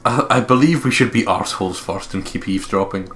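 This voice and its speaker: A man's voice: on the low side, quick pace, a bit bright, slight background noise. A man reading game text aloud and commentating casually on a let's play, blunt and sweary.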